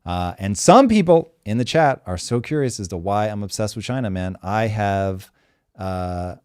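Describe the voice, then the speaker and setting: A man's voice: fairly low pitch, expressive, normal speed, a little mellow, clean tone, no room sound, clean studio sound. A man hosts a live show and talks animatedly, explaining things at length with examples. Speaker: show host.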